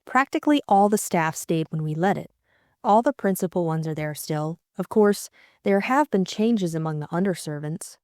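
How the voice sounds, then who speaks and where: A woman's voice: on the low side, lively intonation, moderate pace, a little mellow, no room sound, clean studio sound, natural voice. A woman voicing a spirited, sarcastic young society woman in an audiobook. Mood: matter-of-fact. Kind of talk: audiobook character dialogue.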